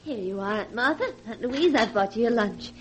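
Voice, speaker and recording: Woman acting a sugary, controlling niece, highish voice. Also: animated, moderate pace, lightly acted. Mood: coaxing. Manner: coaxing, insistent. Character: sugary, controlling niece hiding murderous intent. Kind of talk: 1940s radio acting. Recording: noisy vintage 1940s radio recording.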